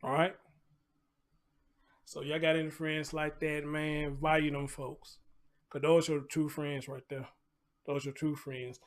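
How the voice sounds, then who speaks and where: Higher-voiced American man, emphatic live commentary. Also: little pitch movement, measured pace, long pauses. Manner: conversational, repeats phrases for emphasis, rhetorical questions. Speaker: host of a live online commentary show. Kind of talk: casual live commentary, preaching-like asides.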